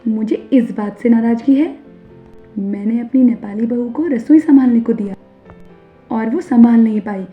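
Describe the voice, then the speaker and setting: A woman voicing a stern middle-aged mother-in-law in an animated moral story, scolding sharply and emphatically. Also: a little high, moderate pace, lightly acted. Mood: reproachful, firm. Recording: narrow phone-like sound over music.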